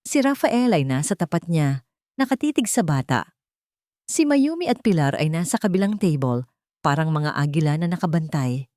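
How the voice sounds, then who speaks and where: A woman's voice: sits low, lively intonation, moderate pace, darkish tone, no room sound, clean studio sound. A woman reading a scripted story as a dramatic narrator.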